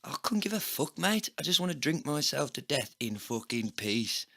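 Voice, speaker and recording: A man's voice: fairly high pitch, very animated, normal speed, creaky, sharp tone, voice pushed up, exaggerated voice. A man playing a bitter, despairing, foul-mouthed drinker in a comedy audio play, ranting and exasperated.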